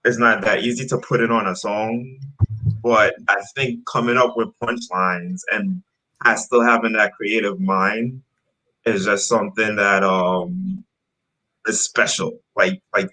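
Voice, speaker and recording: A man from Trinidad in his thirties, relaxed and rambling as he tells his story with lots of fillers. His voice sits low but has a bright, forward edge. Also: measured pace, low-quality recording. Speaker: guest rapper. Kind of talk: casual interview chat.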